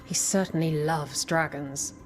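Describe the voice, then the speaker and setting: A woman's voice: deep voice, steady tone, measured pace, soft background music. An actress playing a confident, practical female adventurer and ally in a fantasy video game. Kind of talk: video game cutscene acting.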